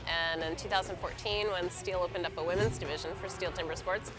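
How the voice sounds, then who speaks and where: A woman's voice: fairly low pitch, steady tone, a little fast, rough recording. Woman from Canada, athlete, enthusiastic, interview, background music. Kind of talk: interview soundbite.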